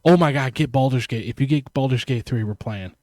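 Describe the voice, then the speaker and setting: A man's voice: mid-range voice, a little fast, a little mellow, no echo. A man co-hosting a podcast, easygoing and enthusiastic in casual chat. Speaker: podcast co-host.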